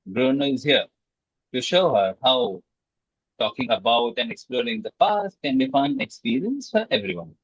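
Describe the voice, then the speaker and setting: A man's voice: medium pitch, expressive, moderate pace, creaky, slightly rough, dry recording. A man hosting an interview, formally and politely reading a scripted introduction and questions. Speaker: interviewer, host. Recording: dull, lo-fi, dry sound.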